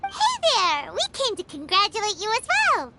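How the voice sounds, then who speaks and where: A woman voicing a tiny childlike fairy companion in a video game, squeaky, perky and friendly. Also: animated, normal speed, very noisy. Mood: cheerful. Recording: loud music behind.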